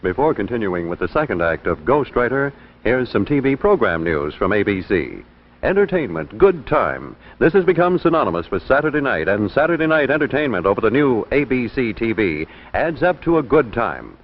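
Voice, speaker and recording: A man with an American accent reading scripted promotional announcements in 1950s broadcast style. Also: mid-range voice. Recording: muffled vintage broadcast.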